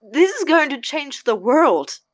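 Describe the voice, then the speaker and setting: A woman's voice: high-pitched, sing-song, measured pace, dry recording. Woman playing a biting, sarcastic young male student. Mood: incredulous. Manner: biting, incredulous, dramatic. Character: sarcastic, exasperated young male pre-med student roommate. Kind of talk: audio drama acting.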